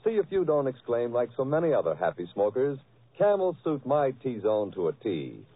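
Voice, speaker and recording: A man delivers a vintage radio commercial as the announcer. His voice is slightly rough with lively, swooping intonation. The sound is thin and narrow, like an old broadcast. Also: mid-range voice, moderate pace. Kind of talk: 1940s radio advertising read. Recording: tinny, band-limited old radio recording.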